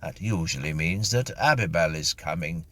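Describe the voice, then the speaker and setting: Man playing a prim, indignant gentleman ghost. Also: low voice, lively intonation, moderate pace, no echo, heavily acted. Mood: withering sarcasm. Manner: indignant, sarcastic.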